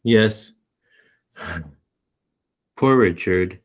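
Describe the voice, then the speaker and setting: A man playing a gentle, bashful middle-aged salesman in a 1940s-style radio play, with a hesitant, measured delivery. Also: low voice, full character voice. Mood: subdued, considerate.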